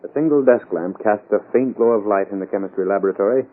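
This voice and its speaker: A man's voice: fairly low pitch, quick pace. A man delivering scripted narration as announcer of an old-time radio drama. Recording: muffled old radio broadcast recording.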